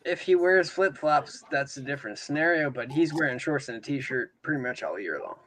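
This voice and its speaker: A man's voice: mid-range voice, animated, brisk, a bit bright. A man from Canada with a mid-range voice, chatting casually and teasing the others. A faint noise sits behind his voice throughout. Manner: laughing, teasing. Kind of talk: casual chat.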